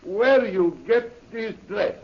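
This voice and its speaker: Gravelly, high-pitched henchman. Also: slow pace, a little hiss or hum. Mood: astonished, smitten. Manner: broken English peppered with French exclamations. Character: rough, fawning male French henchman, jealous and boastful. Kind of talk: 1930s radio adventure acting. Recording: muffled old-time radio recording.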